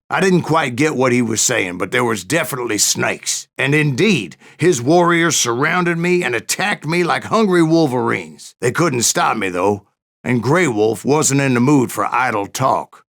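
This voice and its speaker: An actor playing a grizzled old gunslinger, telling boastful tales in a gravelly American Western drawl with a folksy, sardonic manner. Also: male voice, mid-range voice, a bit bright. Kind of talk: dramatic first-person storytelling.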